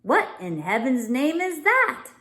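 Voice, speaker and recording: A woman voicing an exasperated, bewildered upper-class father in a children's storybook, with a brisk, old-fashioned, blustering manner. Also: high voice, sing-song, pushed and bright, voice pushed up, heavily acted.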